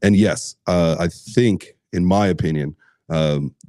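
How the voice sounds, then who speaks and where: A man hosting a casual chat, hoarse from screaming, rambling with lots of fillers, restarts and swearing. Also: low-pitched, takes it slowly, mellow, dry recording, clean studio sound. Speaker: host.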